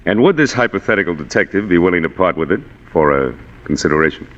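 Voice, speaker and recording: A man's voice: low voice, lively intonation, fast talker. An actor playing a scheming, politely menacing male villain in formal 1940s radio drama style. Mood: smooth, menacing. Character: smooth, scheming male villain after stolen jade. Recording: muffled reverberant vintage radio recording.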